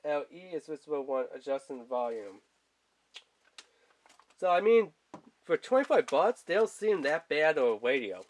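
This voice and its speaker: A man's voice: fairly high pitch, big pitch swings, moderate pace, pauses often. A man giving a casual, rambling product review in informal, colloquial language, speaking off screen indoors. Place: indoors at a tabletop.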